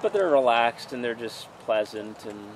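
A man's voice: medium pitch, animated, moderate pace, background noise. A man in his forties, a pastor leading an outdoor sermon and Bible study, reading passages aloud and then preaching in a conversational way, recorded by a camera at a distance outdoors under a tent canopy.

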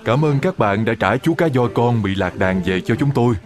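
A man's voice: mid-range voice, expressive, normal speed, close to an everyday voice. A man voicing a polite, grateful flying whale in a children's cartoon, explaining things courteously, with music behind. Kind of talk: animated children's cartoon acting.

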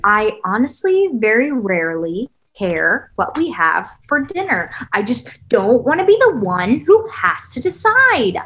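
A woman's voice: on the high side, big pitch swings, a little slow, few pauses, a bit bright, rough recording. A woman in her thirties acting an earnest, defensive girlfriend in a naturalistic table read over a video call. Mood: animated, defensive. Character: earnest, defensive thirty-year-old girlfriend in a couple's spat. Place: small plain room, on video call.

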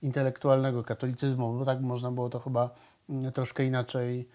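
A man's voice: mid-range voice, monotone, fairly quick, mellow. A middle-aged man interviewing a guest in a TV studio, asking long, winding questions with many fillers.

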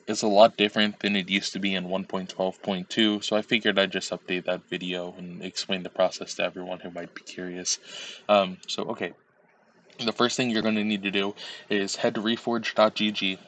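A man's voice: low-pitched, a bit bright. A man recovering from a cold, sounding a little nasal, walking viewers step by step through a screen-recorded tutorial with frequent ums and uhs. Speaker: tutorial creator.

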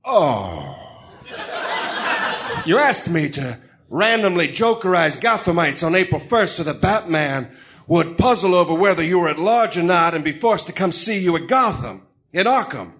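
A man playing an excitable, self-important Greek crime boss in a comic-book radio drama, melodramatic and blustering. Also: a little high, moderate pace. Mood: nervous, appeasing.

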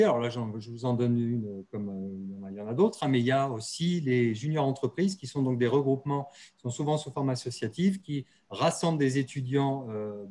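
A man's voice: medium pitch, a little mellow. A man giving a conference presentation, speaking hesitantly with frequent fillers and restarts. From France. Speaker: university research partnership officer.

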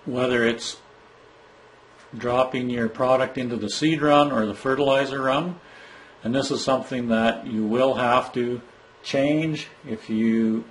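A man of ordinary pitch talks through an equipment setup menu, one step at a time. His delivery is plain and instructive, with a few restarts. Faint background noise sits behind his voice. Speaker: product trainer. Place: indoor room, filming a monitor screen.

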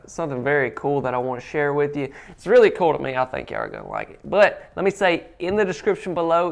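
A young man hosts a home-tour video, talking casually and warmly to camera. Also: a little high, creaky. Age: young adult. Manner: friendly, chatty, animated with hand gestures. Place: indoors at a kitchen counter. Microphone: clip-on lapel mic.

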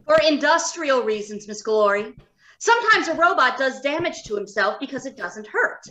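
Radio drama scientist, female actor: unfeeling, precise, matter-of-fact, with a high, forceful voice. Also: sing-song, moderate pace, full character voice, low-quality recording. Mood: clinical. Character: clinical, unfeeling scientist who makes robots. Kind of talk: old-time radio drama acting.

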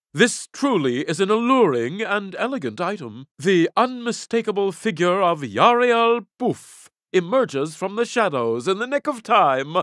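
Pompous theatrical alien jedi master for a game, highish male voice, super animated, flowery grand wording. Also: no echo, studio quality, heavily acted. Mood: grandiose. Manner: florid, grandiose, theatrical diction. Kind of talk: video game character voice clips.

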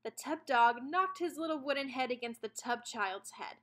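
A woman narrating a children's storybook aloud in an expressive read-aloud style. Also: high-pitched, normal speed.

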